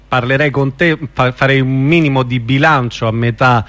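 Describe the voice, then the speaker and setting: A man's voice: mid-range voice, fairly even intonation, normal speed, fairly bright tone. Radio host guy asking stuff, kinda hesitant, lots of ehm. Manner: hesitant, with fillers. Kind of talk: radio interview.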